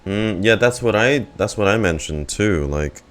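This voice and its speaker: A man's voice: on the low side, expressive, a little slow, a crowd in the background. A man in his twenties giving casual live reaction commentary as he streams a game. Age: 20s.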